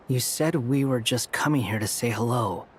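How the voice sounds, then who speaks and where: An actor playing an aloof, sardonic young man in a video game, deadpan and dismissive. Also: medium pitch, a little hiss or hum. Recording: music behind the voice.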